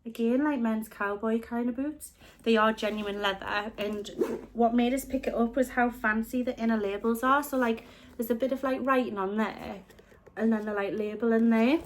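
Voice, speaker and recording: A British woman talks casually about her thrift finds, her voice sitting a little high for a woman. She is a bit snuffly from a chest infection and rambles, often stopping to correct herself. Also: steady tone, normal speed. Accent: British English. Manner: chatty, rambling, self-correcting. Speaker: reselling vlogger. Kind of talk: casual vlog chat.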